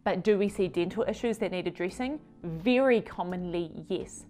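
A woman's voice: lowish voice, normal speed, a little mellow, soft background music. A woman in her thirties, a veterinarian, explaining to camera in a chatty way while gesturing with her hands in a home studio.

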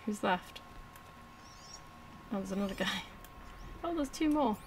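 A woman's voice: medium pitch, expressive, measured pace, slight background noise. A woman giving casual, informal let's-play commentary, muttering to herself and to the game as she plays. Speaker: gaming video host.

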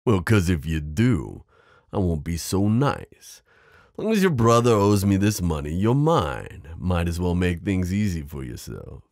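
Guy doing a low voiced mob boss for asmr rp, possessive n teasing, dry close sound, voice moves around a lot. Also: big pitch swings, a little mellow, no echo, full character voice. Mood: controlled, teasing. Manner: slow, teasing drawl addressing the listener with pet names. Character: smooth, possessive male mafia boss, menacing yet protective. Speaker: audio roleplay performer. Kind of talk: ASMR roleplay drama acting.

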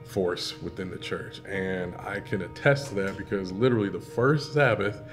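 A man's voice: low voice, steady tone, normal speed. A middle-aged American man, a pastor, speaking casually in an interview. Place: indoor church sanctuary, seated interview. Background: guitar music.

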